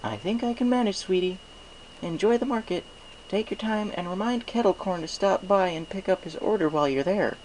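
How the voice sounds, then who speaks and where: Man putting on a high, cheery stallion shopkeeper. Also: fairly quick, a little mellow, slight background noise, natural voice, rough recording. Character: genial, easygoing husband stallion shopkeeper.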